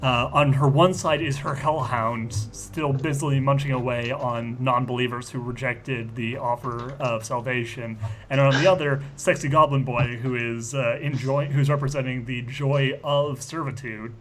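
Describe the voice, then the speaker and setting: A man casually improvising narration for a tabletop role-playing game, haltingly and with many asides. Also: mid-range voice, fairly even intonation, fairly bright tone, slight background noise. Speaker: tabletop role-play player narrating scenes.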